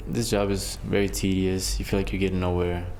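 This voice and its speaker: A man's voice: low voice, fairly quick. A man working at a call center, speaking in a weary tone in an interview. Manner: flat, weary. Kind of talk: interview talk. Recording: clear background noise.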